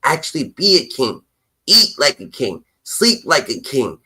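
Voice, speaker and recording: A man's voice: mid-range voice, huge pitch swings, a little slow, bright, forceful tone, breathy edge. A man in his twenties in casual livestream chat, talking emphatically and preaching, repeating catchphrases. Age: 20s.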